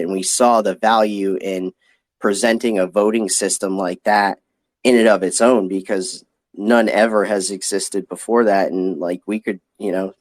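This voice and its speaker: A man's voice: deep voice, no room sound. A man giving casual, explanatory answers as a company guest in an online audio interview. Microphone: online audio chat.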